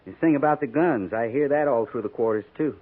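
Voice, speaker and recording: A thin, tinny vintage radio sound frames a male actor as a veteran US cavalry sergeant. He is a gruff American man with a mid-pitched voice, terse, dutiful and unpolished in speech. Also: brisk, close to an everyday voice. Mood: dutiful, concerned. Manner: terse, rough folksy grammar. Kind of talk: 1950s radio western acting. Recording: tinny 1950s radio recording.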